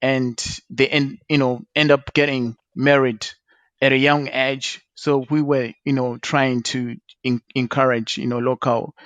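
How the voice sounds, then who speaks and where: Male host, halting delivery, lots of fillers, mid pitch, dry close sound. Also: flat delivery, a bit bright, dry recording. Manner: halting, many fillers and repeats. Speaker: talk show host. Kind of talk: online panel discussion.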